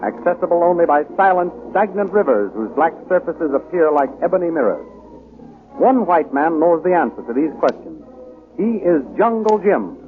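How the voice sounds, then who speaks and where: An American man announces in grand 1930s radio style, his voice pitched high. He declaims scripted narration with relish. The recording is narrow and tinny, with clear background noise.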